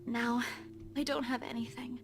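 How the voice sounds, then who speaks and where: A woman's voice: on the high side, flat delivery, slight background noise, close to an everyday voice. An actress playing a worried, downcast young woman in a visual novel, speaking hesitantly. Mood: hesitant, sad. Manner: hesitant, halting.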